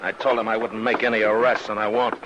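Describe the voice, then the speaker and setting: An actor with an American accent playing a stern, steadfast frontier marshal in an old-time radio western, speaking in terse, firm commands. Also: male voice, on the low side, flat delivery, fast talker, lo-fi sound, background noise, lightly acted. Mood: commanding. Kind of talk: old-time radio western acting with first-person narration. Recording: old radio recording with background music.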